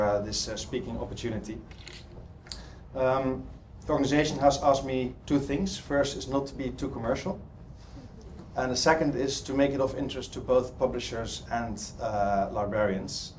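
A man's voice: medium pitch, darkish tone, slight background noise. A man from the Netherlands with a Dutch accent, giving a hesitant conference presentation full of ums and restarts. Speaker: conference speaker. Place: conference hall.

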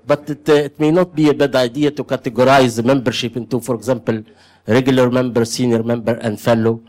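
A man giving his view in a group discussion, measured, with hesitant starts. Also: medium pitch, fairly even intonation, a little mellow. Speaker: meeting participant.

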